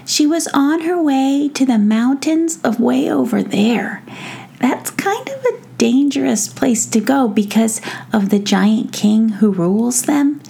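Woman as an earnest, high-voiced little boy gnome. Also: animated, measured pace, gravelly, mellow, breathy edge, full character voice. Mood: cautious, worried. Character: small earnest, proud young boy gnome.

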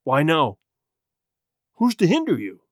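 A man voicing a marooned old pirate, childlike and sly, with a hoarse, rusty voice and rustic sailor speech for a storybook. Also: fairly high pitch, huge pitch swings, slow, dry recording, clean studio sound, heavily acted. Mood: sly, pleased.

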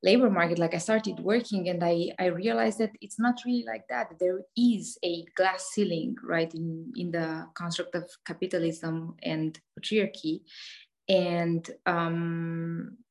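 A woman in her thirties speaking English with a Romanian accent. Her voice sits a little low. She talks casually as a podcast guest, laughing often and restarting her sentences with many fillers. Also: steady tone, unhurried, vocal fry. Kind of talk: casual interview talk.

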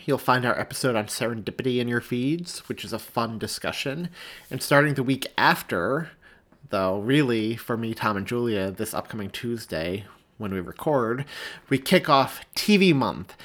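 Man, mid-pitched, chatty podcast host, promotional outro style. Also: a bit bright. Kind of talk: podcast outro addressed to listeners.

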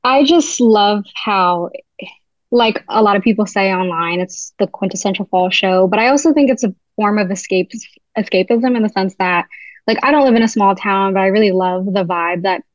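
A woman's voice: mid-range voice, normal speed, no room sound, dull audio. A young woman from New Jersey, a writer guesting on a podcast, talking chattily with lots of "like.". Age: young adult. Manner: chatty, heavy use of "like". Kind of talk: casual interview chat.

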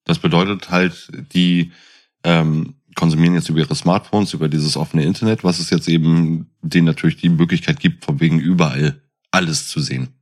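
A man's voice: sits low, fairly even intonation, fairly quick, a little mellow. A man chatting casually as a podcast co-host, explaining and asking probing questions.

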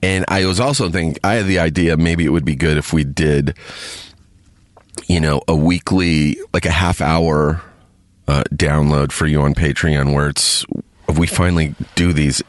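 Very deep-voiced man, rambling podcast host. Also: steady tone, no room sound, studio quality. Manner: rambling, halting, many fillers and restarts. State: sniffly from sinus congestion. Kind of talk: casual solo chat to listeners.

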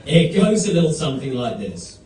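Echoey, high-pitched man giving a toast. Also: big pitch swings, normal speed, darkish tone, some chatter behind, a little hiss or hum. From England. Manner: self-conscious. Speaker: best man giving a speech. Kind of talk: wedding toast. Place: large room at a wedding. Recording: echoey hall, distant microphone.

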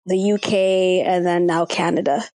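A woman's voice: lowish voice, steady tone, a little slow, warm tone, no echo. A woman in her thirties from Kenya and Ivory Coast, chatting casually and candidly as a podcast guest. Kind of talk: casual personal chat.

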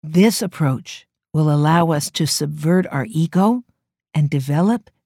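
Warm, earnest woman podcast host. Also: low voice, expressive, a little slow, no echo, studio quality. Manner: earnest, emphatic delivery. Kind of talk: reflective spiritual talk.

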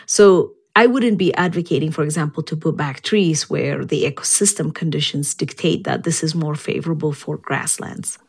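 Woman science expert answering interview questions, kinda low voice, explains stuff in a measured way. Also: vocal fry, slightly rough. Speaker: soil science expert guest.

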